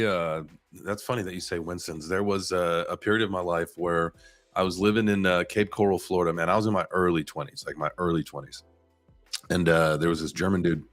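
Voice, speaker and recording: A man's voice: deep voice, dry recording. A man hosting a podcast, chatting casually and rambling with lots of fillers and slang over a remote stream. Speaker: podcast host. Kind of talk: casual chat.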